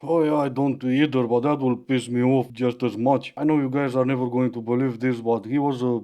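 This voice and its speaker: A slightly hoarse man giving mocking, dry, sarcastic commentary on video clips. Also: mid-range voice, steady tone, brisk, highs cut off. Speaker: reaction commentator.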